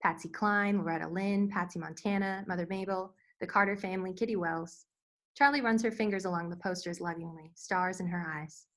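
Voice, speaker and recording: A woman's voice: on the low side, steady tone, fairly quick, fairly bright tone. A woman giving scripted narration, reading out scene headings and action at a screenplay table read. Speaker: narrator reading stage directions.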